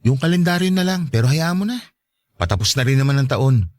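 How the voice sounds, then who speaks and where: An actor playing a sweet, excitable, joking boyfriend in a radio drama. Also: male voice, medium pitch, fairly quick, no echo, lightly acted. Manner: animated, joking. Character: Rodel, jokey, sweet, excitable macho-less boyfriend. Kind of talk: Tagalog radio drama dialogue.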